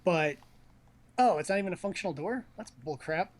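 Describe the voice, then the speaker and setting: A man's voice: sits high, expressive, fairly quick. A man casually chatting as he watches a video game, teasing and joking in slangy talk. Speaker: commentator on a video game stream.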